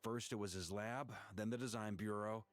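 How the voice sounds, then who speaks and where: An actor voicing a seasoned, pragmatic knight commander in a video game, talking in a measured, informal way. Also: male voice, lowish voice, steady tone, no echo, close to an everyday voice. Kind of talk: scripted video game dialogue acting.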